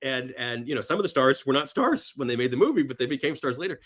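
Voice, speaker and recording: A man chatting casually and enthusiastically as a podcast guest about films, halting and rambling, sometimes voicing imagined reactions. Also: medium pitch, lively intonation, fairly bright tone. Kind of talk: casual film talk in an interview.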